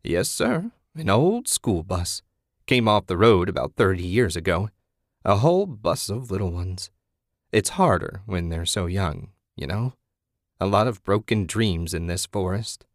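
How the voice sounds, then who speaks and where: A man voicing an eerie old woman hermit in a story, folksy and hospitable yet sly, with drawn-out phrasing. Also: fairly low pitch, very animated, no echo, studio quality. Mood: knowing, unruffled.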